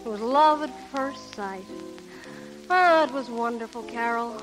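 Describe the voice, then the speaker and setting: A woman's voice: on the high side, a little slow, lightly acted. An actress playing a sardonic, slangy showgirl comedienne in a 1930s radio play. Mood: wistful. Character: wisecracking brassy showgirl comedienne. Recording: vintage 1930s radio, music, crackle.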